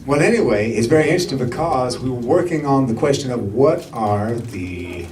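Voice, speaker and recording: A man's voice: medium pitch, expressive, fairly quick, darkish tone, echoey room, recorded from a distance, slight background noise. A man hosting a radio show live on stage, chatting casually with his co-host and the audience.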